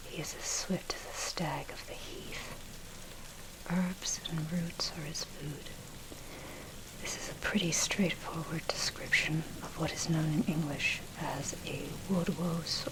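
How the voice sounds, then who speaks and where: A woman reading dark history as ASMR in a breathy, unvoiced whisper, with a measured, scholarly delivery. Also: deep voice, low-quality recording. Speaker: narrator. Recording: steady loud rain noise over voice. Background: rain and thunder.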